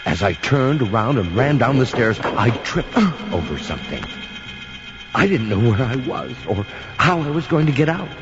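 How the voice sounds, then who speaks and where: An actor playing a sinister old storyteller telling a spooky Halloween tale in a theatrical, dramatic way. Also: male voice, medium pitch, expressive, lightly acted. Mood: frightened, suspenseful. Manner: slow, dramatic, whispers and outbursts. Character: sinister old storyteller welcoming listeners into his home. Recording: dull lo-fi recording. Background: music.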